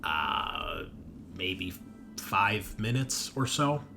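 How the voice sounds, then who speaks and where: A man narrating a tabletop roleplaying game as game master, in a conversational, halting way with emphatic swells. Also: low-pitched, animated, pushed and bright, faint music behind. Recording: clear background noise.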